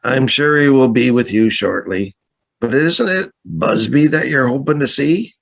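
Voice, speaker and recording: Radio play captain, male actor: gravelly schemer, mock-polite, with swooping, insinuating delivery. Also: mid-range voice, expressive, unhurried. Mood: smooth, probing. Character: sly, scheming male boat captain, blackmailer. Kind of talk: radio drama acting with confiding narration.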